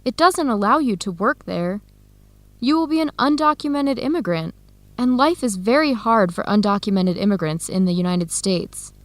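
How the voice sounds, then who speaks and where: An actress playing a troubled, earnest young American scholar in a radio drama for English learners. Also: female voice, medium pitch, animated, normal speed, no echo, lightly acted. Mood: alarmed, disapproving. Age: young adult.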